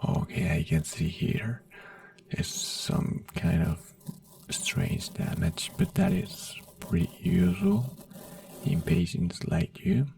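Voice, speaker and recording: Soft slow asmr doctor whisper, dry sound, kinda gravelly. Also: sits low, big pitch swings, no room sound, distant mic. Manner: soft whisper, slow and soothing, simple reassuring phrases. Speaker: ASMR creator in a doctor roleplay.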